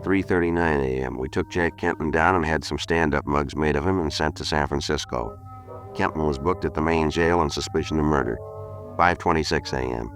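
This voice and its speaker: Very deep, rapid, deadpan detective. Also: male voice, soft background music. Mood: matter-of-fact. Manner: clipped, deadpan. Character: terse, unflappable male police detective. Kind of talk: 1950s radio crime drama narration and dialogue.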